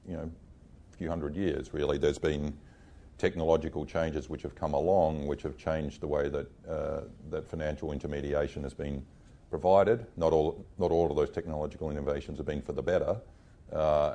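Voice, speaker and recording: A man's voice: a bass voice, vocal fry, mellow. A man, a central bank panelist speaking frankly and emphatically in plain, casual phrasing. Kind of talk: panel discussion answer.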